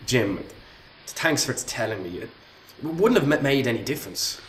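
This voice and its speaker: Actor as stammering, timid young Irish apprentice. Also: male voice, mid-range voice, expressive, normal speed, a bit bright, a little hiss or hum, natural voice, poor audio. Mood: earnest. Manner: hesitant, deferential. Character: timid, earnest, innocent young male apprentice. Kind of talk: radio play acting.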